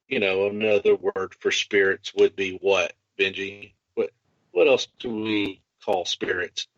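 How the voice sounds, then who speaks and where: Low-voiced man on a dull-sounding podcast. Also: a bit bright, no echo. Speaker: podcast host. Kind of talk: casual hobby podcast chat about a miniatures game.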